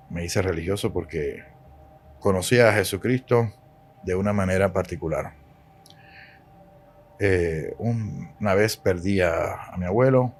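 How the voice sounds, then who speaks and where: Deep-voiced Cuban priest, emphatic. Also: male voice, gravelly, husky. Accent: Cuban Spanish. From Cuba. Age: 40s. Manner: emphatic, preachy, repeats key words. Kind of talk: podcast interview.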